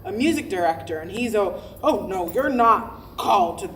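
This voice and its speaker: A woman playing an earnest female pastor reflecting in a verbatim theatre monologue, halting and thoughtful as she goes. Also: mid-range voice, lively intonation, fairly bright tone, lightly acted. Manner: halting, thoughtful, self-correcting. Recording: faintly noisy live staged reading.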